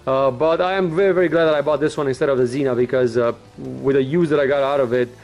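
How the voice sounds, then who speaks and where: A man's voice: mid-range voice, fairly even intonation, fast, a little mellow, soft background music. A man giving a casual, unscripted product review in an informal, blunt, rambling way, like a vlogger.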